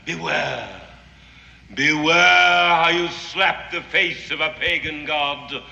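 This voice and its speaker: An actor with an English accent playing a theatrical, declamatory zealot who serves a sun god. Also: male voice, on the high side, bright, forceful tone, lo-fi sound, full character voice. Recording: echoey old TV soundtrack with score.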